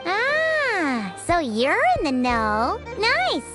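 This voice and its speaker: A tiny, childlike fairy sidekick from a video game, squeaks along in an extremely high voice. The delivery is unhurried yet excitable, full of exclamations, with music playing clearly behind it. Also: female voice, full character voice. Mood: puzzled, chatty. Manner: childlike, exclamatory, refers to self by name.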